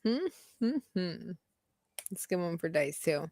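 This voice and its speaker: A woman's voice: on the low side, big pitch swings, unhurried, a little mellow, no echo. A woman hosting a casual chat, reading out party questions and thinking them over aloud with hums and giggles, over a video call. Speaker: host.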